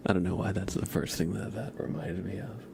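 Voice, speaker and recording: A man hosting an ASMR livestream, speaking in a soft, soothing whisper and chatting gently to his viewers. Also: steady tone, over a noisy crowd. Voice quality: whispered, breathy, with low creaky murmurs. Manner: soft, slow, soothing, greets viewers one by one.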